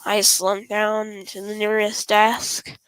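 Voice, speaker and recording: A woman's voice: medium pitch, completely flat delivery, takes it slowly, a bit bright, smooth, low-quality recording. A woman streamer reading visual novel dialogue and narration aloud expressively, stumbling over some phrases.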